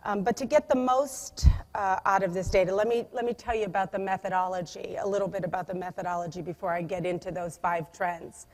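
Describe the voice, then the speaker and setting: A woman giving a conference presentation, energetic and emphatic, with a loud voice and frequent restarts. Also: mid-range voice, fairly quick, hardly any pauses. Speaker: conference speaker. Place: conference room. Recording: long hall reverb.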